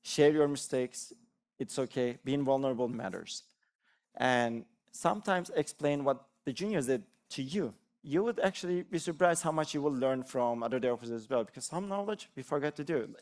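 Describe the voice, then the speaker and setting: A man's voice: medium pitch, moderate pace, a little mellow. Earnest Turkish-born man giving a talk. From Turkey. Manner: earnest, encouraging, with restarts and "like" fillers. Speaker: conference speaker, developer advocate. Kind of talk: conference talk.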